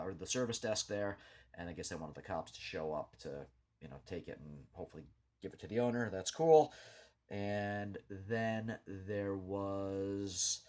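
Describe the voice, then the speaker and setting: A man with a fairly low voice talks through local happenings without a script. He is matter-of-fact and somewhat rambling, often hesitating as he pieces together what he knows. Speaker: local news vlogger. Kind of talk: unscripted commentary over a map, recapping local news.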